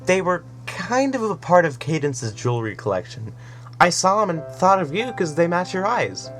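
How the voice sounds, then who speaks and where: A man's voice: high-pitched, very animated, moderate pace, voice pushed up. A man voicing a shy, earnest nine-year-old girl who mumbles. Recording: soft music behind.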